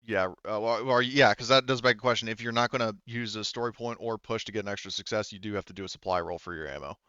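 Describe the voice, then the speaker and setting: A man with a low, smooth voice acts as game master of a tabletop roleplaying game. He explains in a halting, self-correcting way. The recording is dry and slightly dull. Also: bright, forceful tone, no room sound.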